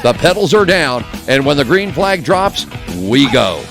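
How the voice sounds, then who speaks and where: A man's voice: a little high, very animated, unhurried, gravelly. A man reading a punchy scripted podcast intro in an announcing manner. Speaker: show announcer. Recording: intro with music bed.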